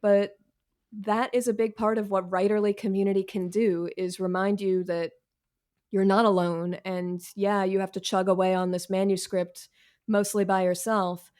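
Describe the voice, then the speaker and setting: A woman talks as a guest writer in a conversational interview. She is frank and quick to poke fun at herself, using plenty of casual slang. Her pitch sits in the middle for a woman. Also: flat delivery, a little mellow. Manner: candid, self-deprecating, slangy.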